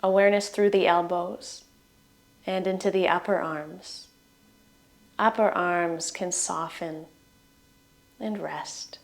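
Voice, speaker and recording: A woman leading a guided relaxation in soothing, gentle short phrases that trail off softly at the ends. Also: lowish voice, steady tone, slow, gravelly, pauses often. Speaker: meditation guide.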